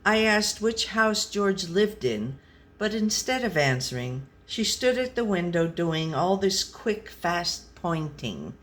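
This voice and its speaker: Slow, deadpan storybook pet read by a woman. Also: on the low side. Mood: wary, puzzled. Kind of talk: scripted storybook narration.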